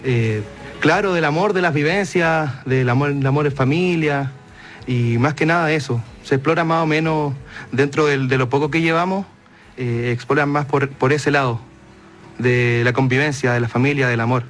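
A man's voice: mid-range voice, normal speed, fairly bright tone, faint music behind. A man with a Chilean accent, a songwriter giving modest, casual answers in an interview. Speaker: singer-songwriter, interview guest.

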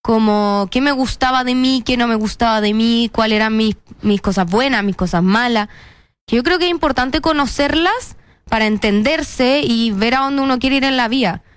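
A woman's voice: on the high side, normal speed, smooth, no echo. A young woman with a Chilean accent, chatting casually and expressively as an interview guest, often repeating words for emphasis. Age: young adult.

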